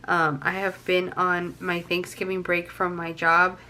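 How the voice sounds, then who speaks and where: A woman's voice: on the low side, completely flat delivery, measured pace, pushed and bright, a little hiss or hum. A young woman with a stuffy nose from a cold, chatting casually to camera and counting cash aloud with frequent ums. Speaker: budgeting vlogger.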